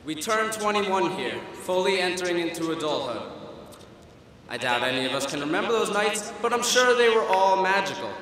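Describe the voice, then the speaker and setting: A young man giving a humorous student commencement speech at a podium, wry and self-deprecating, with pauses for laughs. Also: sits high, lively intonation, background noise. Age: young adult. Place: large auditorium stage at a podium. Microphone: podium microphone. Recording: echoey hall through a PA system. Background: audience laughter and hall ambience.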